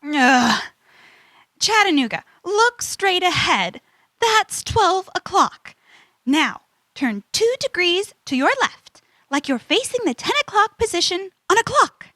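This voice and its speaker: A woman's voice: extremely high pitch, slow pace, dry recording, exaggerated voice. A woman playing a sensible, anxious, exasperated adventurer's sidekick in a comedic children's audio drama. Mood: urgent, panicked. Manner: exasperated, excitable.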